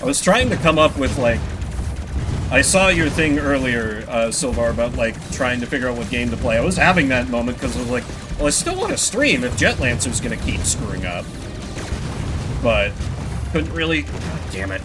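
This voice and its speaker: A man's voice: mid-range voice, very animated, normal speed. A man doing casual live gaming commentary, chatting with viewers in a rambling, self-deprecating, sweary way. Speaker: video game streamer. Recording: music behind the voice. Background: video game music and sound effects.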